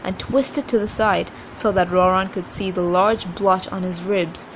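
A woman reads a story aloud expressively, in a mid-pitched voice. The recording is noisy, with an ambient rumble like a vehicle behind her. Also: steady tone, a little slow. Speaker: reader. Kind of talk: expressive book reading after a casual introduction.

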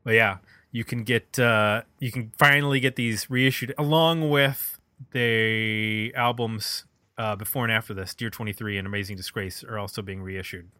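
Male podcast host, bright forward voice, very dry close sound, casual music talk with opinions. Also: fairly low pitch, fairly even intonation, normal speed, no room sound.

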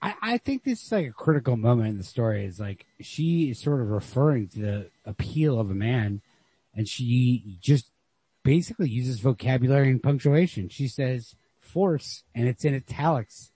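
A man's voice: medium pitch, animated, normal speed, mellow, breathy edge, no echo. A man talking casually about literature as a podcast host, on a lo-fi home recording.

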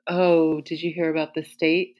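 Deep-voiced, opinionated woman. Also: flat delivery, normal speed, darkish tone. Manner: opinionated, laughs while talking. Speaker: podcast co-host. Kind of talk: casual conversation.

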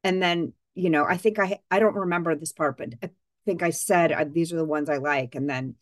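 A woman hosting a podcast interview, chatty and quick with asides, often correcting herself mid-sentence. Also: low voice, no echo, studio quality. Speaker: podcast host. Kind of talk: casual interview chat.

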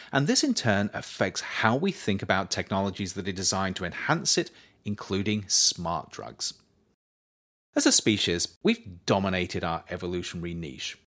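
A man reading his own scripted non-fiction as narrator, with measured, articulate, emphatic phrasing. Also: low voice, a bit bright. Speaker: author reading own book as audiobook podcast.

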